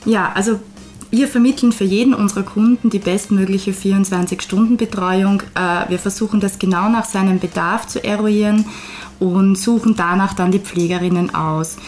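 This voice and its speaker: A woman whose first language is Hungarian, a guest briskly and fluently listing details of her agency's services. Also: mid-range voice, steady tone, a little mellow, faint music behind. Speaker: guest, care agency co-owner.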